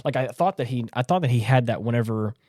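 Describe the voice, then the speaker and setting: A man's voice: medium pitch, expressive, quick pace, darkish tone, no echo. Male podcast host. Conversational and earnest, leans hard on repeated phrases, dry recording. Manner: emphatic, earnest, repeats himself for stress. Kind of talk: casual discussion of a story.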